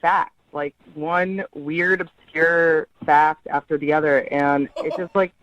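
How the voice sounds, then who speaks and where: A woman's voice: deep voice, fairly even intonation, slow, dull audio, a little hiss or hum. A woman calling in to a dating show, talking casually in an incredulous, slangy, argumentative way. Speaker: dating show call-in guest. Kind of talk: casual argumentative phone chat.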